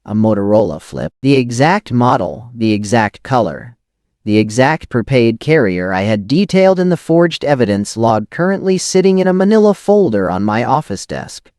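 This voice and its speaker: A man performs a hardboiled, rattled forger narrating a noir audio drama. His mid-pitched male voice swings widely through clipped phrases and weighty pauses. The sound is very dry, with no room on it, and the delivery is dramatic and cynical. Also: sing-song, a little mellow, no room sound, close to an everyday voice. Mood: grim disbelief.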